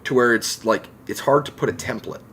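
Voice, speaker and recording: A man's voice: medium pitch, fairly quick, fairly bright tone, a little hiss or hum. A man talking casually and emphatically on a discussion podcast, giving his opinions. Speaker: podcast co-host.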